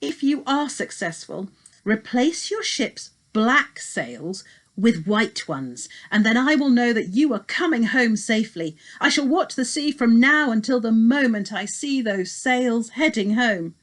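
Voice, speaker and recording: A woman's voice: fairly high pitch, lively intonation, a little slow, pitched above the performer's own voice. Woman reading as an earnest, worried old king. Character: anxious older king, protective father. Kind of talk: storybook character voice.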